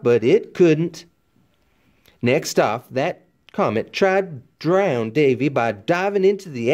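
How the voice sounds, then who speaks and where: A man reads a children's tall tale in a fairly high, very lively voice that rises and dips freely. His delivery is folksy and expressive, leaning on key words for dramatic effect as a classroom read-aloud narrator would. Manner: animated, folksy storytelling with dramatic emphasis.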